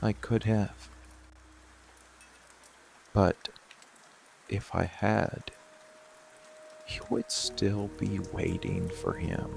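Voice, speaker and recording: Man acting a very gravelly, slow seductive monster. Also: huge pitch swings, heavily acted. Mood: grave, controlling. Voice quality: low gravelly voice, breathy whispered edges. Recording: music and rain behind voice. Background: music, rain.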